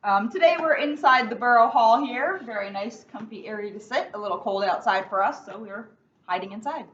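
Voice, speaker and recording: A woman's voice: a little high, brisk, fairly bright tone. A woman police officer reading a children's book aloud indoors, in a storytelling style. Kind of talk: read-aloud storytelling with casual intro. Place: indoors in a borough hall seating area. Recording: distant mic, dull sound, faint noise.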